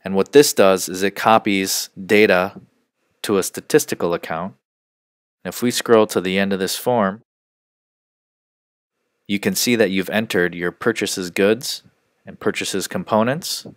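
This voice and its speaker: Male software trainer, low register, measured instructional screencast delivery, dry studio-style sound. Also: no room sound. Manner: measured, step-by-step explaining.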